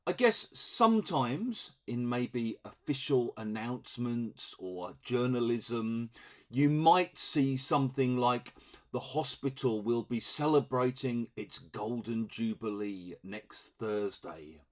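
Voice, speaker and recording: Man, middle-aged, teacher, lively pitch, slow delivery, conversational. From England. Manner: relaxed, conversational, acts out example dialogues. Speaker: English teacher. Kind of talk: explaining vocabulary to learners.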